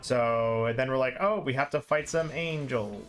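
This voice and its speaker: A man with a medium-pitched voice talks through a game as he streams it. He is informal, rambling and self-deprecating. Music plays faintly behind him. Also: normal speed, a bit bright. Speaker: streamer playing and explaining a game. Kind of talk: casual live game commentary.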